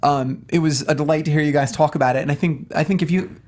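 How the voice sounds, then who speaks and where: A man's voice: on the high side, steady tone, fast talker, darkish tone. A man co-hosting a casual film discussion show, speaking with emphatic, earnest enthusiasm. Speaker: talk show co-host.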